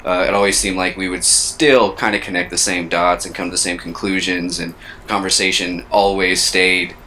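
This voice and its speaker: A man in his thirties with a very low voice and a flat, level delivery talks things through slowly, stopping to hunt for the right words. His tone still has a bright, forward edge. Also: a little hiss or hum. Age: 30s. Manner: reflective, searching for words. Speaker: co-host. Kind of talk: casual chat.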